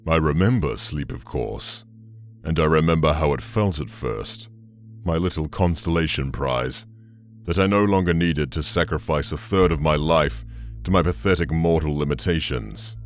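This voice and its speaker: Ancient demon, cavernously low voice, bitter, contemptuous, slow menacing audio drama monologue, music underneath. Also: male voice. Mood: brooding rage. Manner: slow, menacing, brooding delivery.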